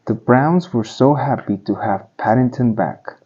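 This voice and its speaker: A man narrating a children's storybook aloud in simple, deliberate phrasing for young listeners. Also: mid-range voice, warm tone, slightly rough, muffled recording.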